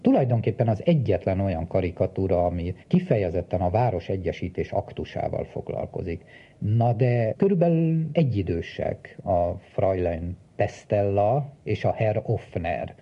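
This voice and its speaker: A man's voice: low voice, animated, breathy edge. A man speaking as an expert historian in an interview, explaining in detail, on a dull recording. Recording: dull lo-fi sound.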